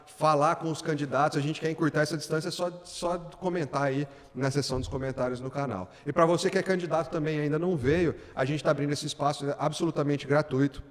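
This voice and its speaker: A man hosting a podcast in a chatty, informal way, stumbling over fillers and restarting his sentences. His voice is a touch high for a man, and a long reverb trails behind it. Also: fairly even intonation, fast talker, hardly any pauses. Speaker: podcast host. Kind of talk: casual interview hosting.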